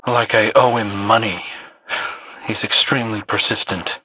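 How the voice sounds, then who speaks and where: An actor playing a wry, guarded rogue in a video game, dry in manner. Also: male voice, lowish voice, fairly even intonation, moderate pace, sharp tone, slight background noise.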